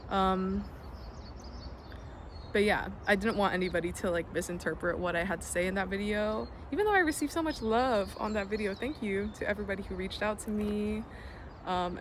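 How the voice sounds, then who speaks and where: A young adult vlogger from the United States, speaking in an earnest, reflective way with frequent ums and some casual swearing. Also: medium pitch. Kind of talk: reflective personal vlog. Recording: noisy background with birds and passing vehicles.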